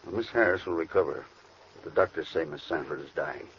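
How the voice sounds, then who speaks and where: An actor playing a sober, matter-of-fact police lieutenant in a 1940s radio crime drama. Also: male voice, very deep voice, a little fast. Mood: official. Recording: noisy muffled old radio recording.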